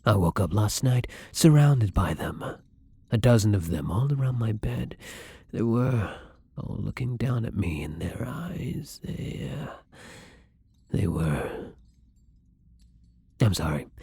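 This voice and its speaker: A kind but hungry new vampire, played by a man, speaks close and softly in a very husky, breathy voice. He is slow, halting and apologetic, with a dark, intimate tone suited to roleplay ASMR. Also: very animated, dry recording, full character voice. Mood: nervous, apologetic. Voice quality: husky, breathy, creaky low voice. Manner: hesitant, soft-spoken, halting.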